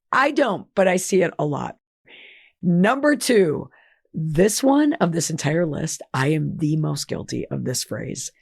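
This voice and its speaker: A woman's voice: lowish voice, gravelly, a little mellow, breathy edge, no room sound, clean studio sound. A woman hosting a solo podcast while she has lost her voice, reading out a list of words with chatty asides. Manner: chatty, reads list entries aloud with asides. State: hoarse, losing her voice. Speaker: podcast host.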